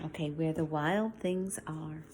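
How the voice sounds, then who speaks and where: A woman teacher reading a picture book aloud to young children, expressively, with softer asides to explain it. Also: sits low, unhurried, noisy background. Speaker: schoolteacher reading to young pupils.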